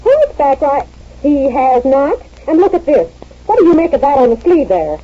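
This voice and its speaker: A woman's voice: high-pitched, very animated, normal speed, warm tone. A woman playing a jealous wife who interrogates her friend in a 1940s radio comedy, on a muffled old recording. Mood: suspicious. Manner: indignant, interrogating, theatrical. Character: jealous suspicious wife, sharp and dramatic.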